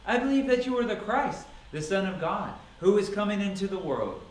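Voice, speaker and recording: A devout woman in mourning, played by a man pleads earnestly in a scripture drama. The voice is pushed higher, heard at a distance in an echoing, noisy room. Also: sing-song, a little fast.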